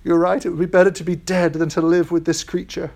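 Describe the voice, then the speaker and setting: A man's voice: flat delivery, quick pace, creaky, darkish tone. Man playing a whining, frightened ghost. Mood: desperate. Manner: whining, high and strained when panicked. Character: frightened, pleading male ghost.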